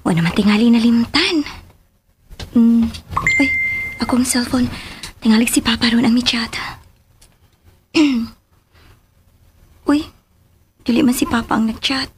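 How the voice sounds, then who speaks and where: A Filipino-accented woman in a radio drama playing an excitable, doting young wife and mother. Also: a little high, slow pace, pauses often, slight background noise. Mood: puzzled, wary.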